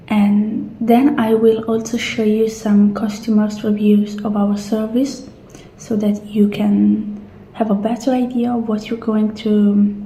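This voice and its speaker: A woman playing a calm, polite futuristic clinic receptionist in a soft-spoken, gentle, unhurried ASMR roleplay. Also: mid-range voice, roomy sound, slight background noise, close to an everyday voice, poor audio. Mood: politely attentive. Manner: gentle, unhurried, reassuring customer-service talk.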